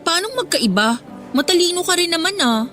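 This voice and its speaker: A woman's voice: very high voice, expressive, a little fast, bright, forceful tone, heavily acted. A woman playing an ambitious, pushy teenage student leader in a Filipino radio drama, talking persuasively and insistently with a Filipino accent. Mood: coaxing. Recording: music under the dialogue.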